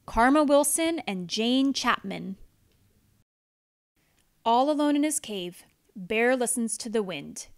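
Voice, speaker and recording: A woman reads a children's story aloud. Her voice sits fairly high and moves in lively swoops. She takes her time, pausing deliberately for drama. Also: creaky. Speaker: storybook narrator. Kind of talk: expressive children's read-aloud.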